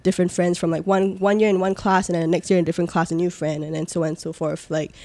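A woman's voice: fairly low pitch, flat delivery, racing, a little mellow. A young woman from Singapore, chatting as an interview guest, hesitant with many ums and likes, often giggling. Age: 20s. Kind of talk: casual interview chat.

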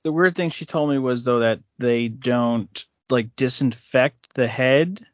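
A woman's voice: extremely low pitch, a little slow, lo-fi sound, very noisy. A woman co-hosting a casual podcast, hesitant, often searching for words. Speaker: podcast co-host. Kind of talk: casual chat.

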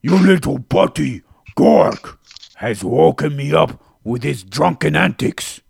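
Man voicing a cranky coronavirus spirit, gravelly, slow drawl. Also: a little high, lively intonation, darkish tone, no room sound, clean studio sound, voice pushed up, heavily acted. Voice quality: gravelly, husky. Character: grumpy gravelly coronavirus spirit, male, scolding.

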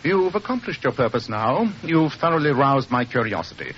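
A man's voice: mid-range voice, very animated, moderate pace, fairly bright tone. An actor playing a brilliant, aloof male detective with a dry, formal, assured manner in an old radio mystery drama. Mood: intent. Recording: muffled, noisy old radio recording.

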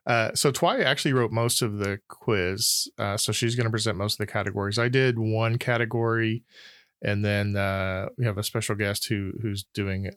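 A man running a trivia quiz on a podcast, explaining the rules in a halting way with frequent restarts. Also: on the low side, fairly even intonation, fairly bright tone, smooth, no echo, clean studio sound. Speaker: quizmaster. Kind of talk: casual chat, explaining rules.

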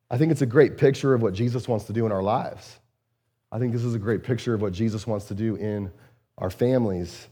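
A man, a lead pastor, preaching in an earnest, conversational way, often repeating words and using fillers, his voice a bit strained and hoarse. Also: mid-range voice, dark tone. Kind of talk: conversational sermon.

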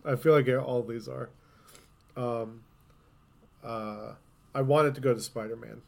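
A man's voice: medium pitch, fairly even intonation, measured pace, creaky. A man co-hosting a gaming podcast in casual conversation, thinking aloud with some hesitation. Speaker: podcast co-host.

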